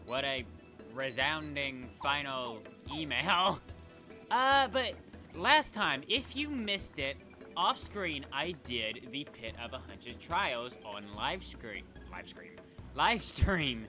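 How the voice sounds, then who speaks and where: A man's voice: mid-range voice, big pitch swings, slow pace, bright, forceful tone. Guy doing a chill let's play, rambly, keeps fixing his own words, game music going behind him. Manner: chatty, rambling, corrects himself mid-sentence. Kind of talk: casual gameplay commentary.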